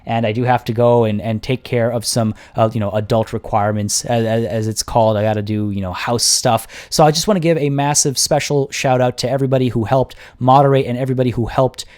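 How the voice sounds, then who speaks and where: A young man hosting a game livestream, chatting casually to viewers and reading out their comments, his voice getting worn and hoarse. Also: mid-range voice, fairly even intonation, fairly quick, few pauses, darkish tone. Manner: upbeat, chatty, grateful, many restarts. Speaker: livestream host and game caster. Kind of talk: casual livestream sign-off, reading chat aloud.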